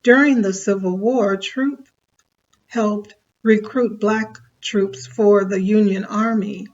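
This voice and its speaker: Slow-reading woman storyteller. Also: mid-range voice, steady tone, darkish tone, dull audio. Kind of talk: read-aloud biographical narration.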